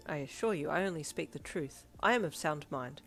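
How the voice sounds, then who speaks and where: A woman's voice: deep voice, lively intonation, brisk, faint music behind. A woman voicing an earnest young man with a stiff, formal and sincere way of talking, in a narrated story. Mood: soft earnestness.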